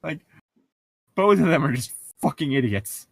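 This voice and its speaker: A man's voice: a little high, very animated, measured pace, vocal fry, no room sound. A young man casually bantering and reading aloud in a mocking, sarcastic way, swearing freely. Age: young adult. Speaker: co-host reading and mocking a book.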